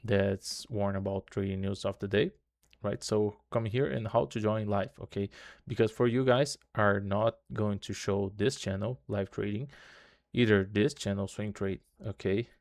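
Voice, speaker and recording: Low, flat-voiced man with non-native English. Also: a little slow, dry recording, studio quality. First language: Portuguese. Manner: loose repetitive tutorial talk, constant "okay" and "right" tags. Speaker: trading channel host. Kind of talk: casual screen-share walkthrough.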